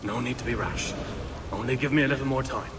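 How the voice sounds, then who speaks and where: An actor playing an anxious man quickly pleading for more time in a placating way. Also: mid-range voice, noisy background, lightly acted. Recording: distant, echoey, rain-soaked.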